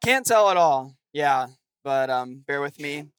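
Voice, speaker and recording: Young guest preacher, scratchy from a cold, earnest sermon delivery, dry recording. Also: male voice, fairly high pitch, expressive, measured pace, a bit bright, clear, resonant tone, no room sound.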